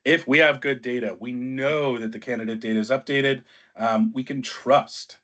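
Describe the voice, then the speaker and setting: A man's voice: mid-range voice, fairly even intonation, normal speed, a bit bright. A man moderating a business panel discussion as webinar host. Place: online webinar call. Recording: dull, band-limited online call audio.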